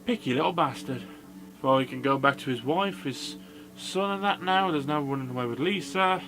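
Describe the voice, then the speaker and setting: A man's voice: medium pitch, normal speed. A man giving a casual movie review as a video host, in a blunt, sweary, informal manner. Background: background music.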